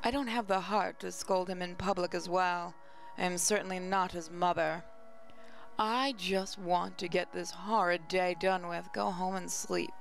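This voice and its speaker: A woman's voice: on the low side, steady tone, measured pace, creaky. A woman hosting a gaming channel, reading visual novel narration aloud, with faint music behind her.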